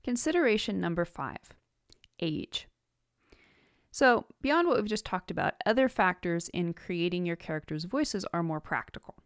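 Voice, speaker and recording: Low-voiced woman narrating instructional tips. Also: big pitch swings, dry recording, clean studio sound. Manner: measured, enumerating points clearly. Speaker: podcast host giving writing tips.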